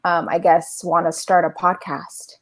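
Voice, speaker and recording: A woman's voice: on the low side, level tone, unhurried. A woman hosting a podcast, asking questions in an upbeat, encouraging way. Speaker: podcast host. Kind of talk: casual interview hosting.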